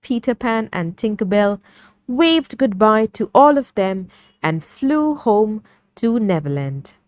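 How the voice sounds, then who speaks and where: A woman narrating a scripted children's story in a measured, clearly phrased read-along manner. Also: mid-range voice, lively intonation, dry recording.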